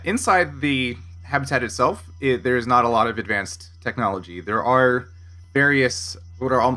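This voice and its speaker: A man's voice: medium pitch, sharp tone. A man speaking as game master, improvising scene descriptions and rulings in a tabletop roleplaying game.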